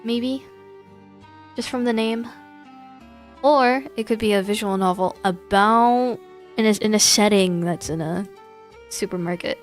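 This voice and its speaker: A woman's voice: mid-range voice, unhurried, long pauses, soft background music. A woman giving casual, informal livestream commentary on horror games, thinking aloud in a hesitant way. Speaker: video game livestreamer.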